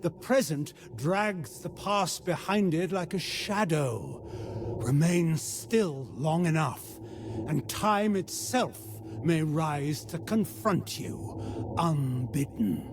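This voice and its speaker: An actor playing a haughty, scheming ancient vampire in a gothic video game, speaking in a grandiloquent, disdainful and deliberate way. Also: male voice, on the high side, expressive, background noise. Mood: ominous, lecturing.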